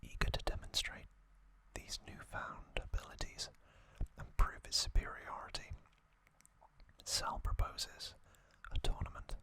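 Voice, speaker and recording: ASMR host, whispered, breathy, very slow, deliberate pauses, bright hushed tone. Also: rough recording. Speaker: host of an ASMR trivia channel. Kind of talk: ASMR, whispered reading and commentary.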